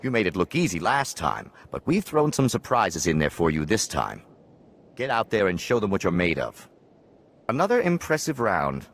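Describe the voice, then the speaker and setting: A man playing a brisk, encouraging combat trainer in a video game. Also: low-pitched, wildly swooping pitch, fairly bright tone, faint music behind, exaggerated voice.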